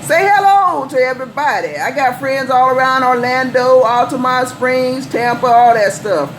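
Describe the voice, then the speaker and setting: A woman's voice: high-pitched, expressive, normal speed. An American woman working as a CB radio operator, bantering in folksy slang and repeating calls, handles and sign-offs. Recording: noisy live CB radio setup.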